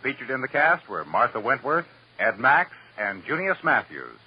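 A man's voice: mid-range voice, sing-song, normal speed. A male American announcer reading scripted credits and promos in a 1950s radio broadcast style. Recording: dull vintage radio recording. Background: music.

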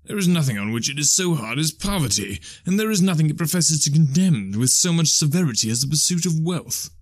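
A man's voice: fairly high pitch, lively intonation, fairly quick, husky, no echo, clean studio sound, voice pushed up. A man doing a curt, defensive young miser. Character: guarded young man grown greedy, defensive.

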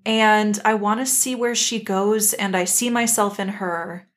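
A woman's voice: mid-range voice, steady tone, a little slow. A woman co-hosting a podcast, talking in a casual fan-discussion style. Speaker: podcast co-host.

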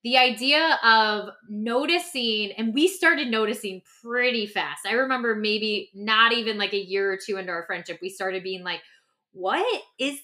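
A woman co-hosting a podcast in a casual, reflective conversation, talking in an animated, informal way with lots of "like". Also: medium pitch, normal speed. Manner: animated, informal, peppers talk with "like". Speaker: podcast co-host.

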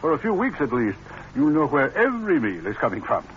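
An actor playing a hearty, insistent elderly millionaire in a 1940s radio drama, genial and a little halting. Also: male voice, fairly high pitch, wildly swooping pitch. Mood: genial, generous. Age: older. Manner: hesitant fillers, genial, insistent. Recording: muffled old radio with faint noise.

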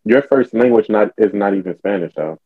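A man's voice: lowish voice, brisk, no echo. A young man in casual podcast chat, pressing with probing questions. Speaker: podcast co-host. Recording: tinny phone-like podcast audio, dry.

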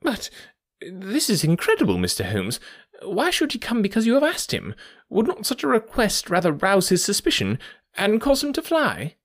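Give this storybook police inspector as a man narrator puts him on a fretful, protesting edge. His pitch leaps and plunges dramatically, far above an ordinary male register, and he sounds anxious and disbelieving in a dry, close recording. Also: huge pitch swings, moderate pace, dry recording, full character voice. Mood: incredulous.

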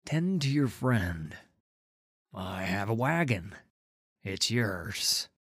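Weary old countryman acted by a man, guarded and humble, drawn-out halting delivery, dry recording, for audiobook fantasy. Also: lowish voice, very animated, a bit bright, husky, no room sound, exaggerated voice. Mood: stoic. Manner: slow, subdued, halting.

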